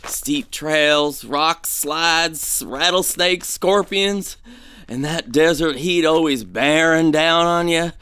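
An actor playing an uneasy, superstitious male gold prospector in an audio drama, speaking in a folksy, hesitant, excitable way. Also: on the high side, slow pace, clear, resonant tone, no room sound.

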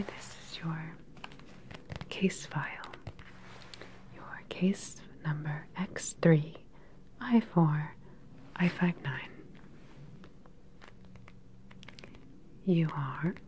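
A woman playing a calm, sinister cyborg scientist in an ASMR roleplay, speaking close to the mic in a slow, deliberate, soothing and clinical way. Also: deep voice, a little hiss or hum. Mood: clinical, stern. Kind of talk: ASMR soft-spoken whispered roleplay. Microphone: close ASMR microphone.

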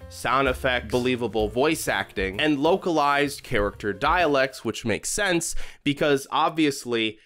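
Young man narrating a review, very animated pitch. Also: a little slow, faint music behind. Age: young adult. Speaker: YouTube reviewer. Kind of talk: scripted video review narration. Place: small home room, indoors. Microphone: close studio microphone on boom arm.

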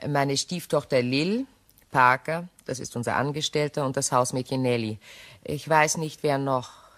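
An actress playing an anxious but composed, recently widowed English shop owner in a German crime radio play, polite and measured. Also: female voice, extremely low pitch, a bit bright. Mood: quietly worried.

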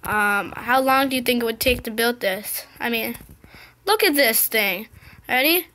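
A young girl commentating her gameplay in a casual, excited, chatty way, her voice slightly scratchy. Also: a little high, a little slow. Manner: excited, chatty, impatient exclamations. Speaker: gameplay video host.

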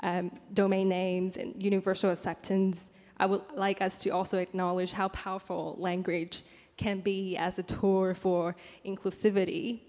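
A young woman whose first language is Cantonese, reading a prepared statement from her laptop into a handheld microphone at a conference, with frequent pauses and ums. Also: mid-range voice, flat delivery, a little mellow. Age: young adult. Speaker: youth panel speaker. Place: large conference hall. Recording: reverberant conference hall.

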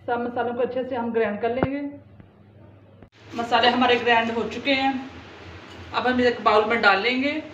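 A woman gives calm, step-by-step cooking instructions in a fairly high but flat voice. Her home kitchen sounds roomy. The recording is muffled and has clear background noise. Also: moderate pace, pauses often. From Pakistan. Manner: calm, plain instructional delivery. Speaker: home cooking channel host.

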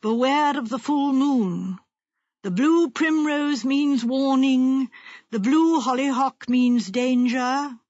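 A woman voicing a mysterious fortune teller who gives warnings in a portentous, deliberate manner in an audiobook story. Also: high-pitched, darkish tone, no echo, muffled recording. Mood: ominous. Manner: slow, portentous. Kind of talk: audiobook character voice.

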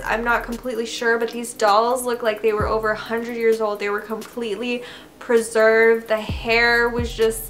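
A woman's voice: a little high, fairly bright tone. A young woman from Canada telling a story to camera in a casual, chatty way, full of "like" and "I don't know". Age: young adult. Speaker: vlogger. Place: bedroom, indoors.

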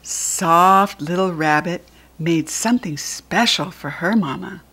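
Slow-reading American woman storyteller. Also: on the low side, bright, forceful tone, husky. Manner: slow, deliberate, teacherly. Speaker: storybook reader. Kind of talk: read-aloud of a children's picture book.

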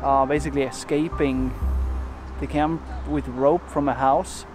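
A man in his forties vlogging casually to a handheld camera outdoors and in a van, relaxed and rambling with many fillers and restarts. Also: medium pitch, unhurried, over music. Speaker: travel vlogger. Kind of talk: casual vlog narration to camera. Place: outdoors in a parking lot and inside a van. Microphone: handheld camera at arm's length.

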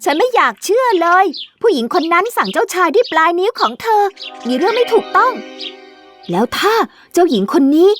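A woman's voice: very high-pitched, big pitch swings, brisk, music in the background, pitched above the performer's own voice. A woman voicing a loyal, earnest unicorn who exclaims with worry and determination in a children's fairy tale cartoon. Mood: worried. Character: loyal unicorn hero friend, worried but determined.

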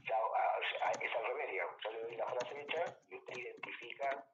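An Argentine man teaching a logic lesson at a relaxed, patient pace, often dropping in short check-in questions. His voice sits in a middle range and comes through a dull, echoey call line. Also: medium pitch, fairly quick, noisy background. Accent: Rioplatense Spanish. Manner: patient, explanatory, checks understanding with tag questions. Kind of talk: tutoring lesson over a call.

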